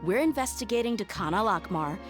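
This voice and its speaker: A woman's voice: medium pitch, animated, a little fast, music in the background, close to an everyday voice. A woman playing a tough, confident young mercenary in a video game, blunt and coolly assured. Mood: threatening, cool.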